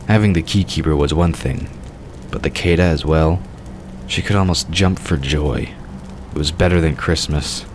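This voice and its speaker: A man's voice: a bass voice, normal speed, background noise. A man narrating a scripted fiction story as the narrator of an audio drama.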